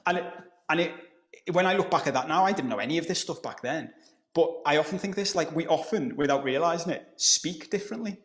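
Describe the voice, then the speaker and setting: Man with a softened Scouse accent. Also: a little high, fast. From Liverpool, England. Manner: explanatory, conversational, occasional swearing. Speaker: guest coach. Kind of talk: podcast conversation.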